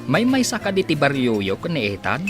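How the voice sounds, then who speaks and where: A man's voice: on the high side, wildly swooping pitch, fairly quick, over music. A man acting in a radio drama as a respectful, friendly aide who runs detective errands. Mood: friendly, inquiring. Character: deferential male aide doing detective errands, friendly when asking around.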